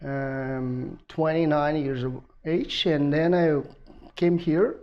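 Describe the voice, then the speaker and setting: A man's voice: on the high side, slow, lo-fi sound. A man in his thirties from Uzbekistan, a native Russian speaker, answering interview questions in an explanatory, hesitant way. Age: 30s. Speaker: guest, polyglot teacher.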